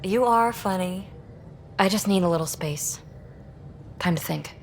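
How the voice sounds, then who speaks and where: A woman's voice: mid-range voice, a little slow, a little hiss or hum, natural voice. A woman playing a guarded, restless young alien explorer in a video game, speaking in a clipped, wry and hesitantly confiding way. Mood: conflicted, guarded.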